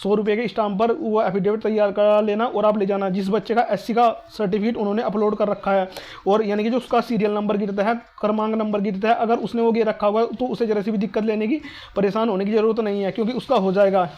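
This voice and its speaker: Fast, high-voiced North Indian man explaining. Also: flat delivery, a little mellow, dull audio. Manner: repetitive, reassuring, asks and answers own questions. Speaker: exam-advice video host. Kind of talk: informal explanatory talk to students.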